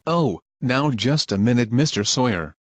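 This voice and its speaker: An actor playing a calm, reassuring doctor in a radio drama. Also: mid-range voice, normal speed, dry recording, natural voice. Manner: reassuring, reasonable. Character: calm, reassuring doctor from an old folks' home.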